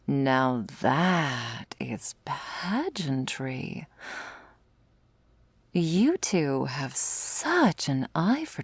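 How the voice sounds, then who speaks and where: A woman playing a smug, predatory female vampire in an ASMR roleplay, flirtatious and taunting, with teasing, drawling, breathy delivery. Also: low voice, darkish tone, dry recording, full character voice. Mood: admiring, casual.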